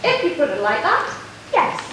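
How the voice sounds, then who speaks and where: A woman playing a scheming, smooth-talking nobleman in an amateur stage pantomime, recorded from afar in a hall. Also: sits high, huge pitch swings, normal speed, pushed and bright, full character voice. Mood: calmly scheming. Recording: echoey hall, distant mic, faint noise.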